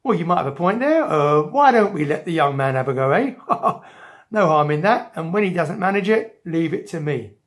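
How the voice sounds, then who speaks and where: A woman's voice: fairly low pitch, expressive, moderate pace. A woman voicing a pompous, bossy policeman in a children's story, blustering and officious. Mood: grudging. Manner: blustering, officious, repeated stock phrases. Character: pompous, bossy male policeman, mocking and self-important.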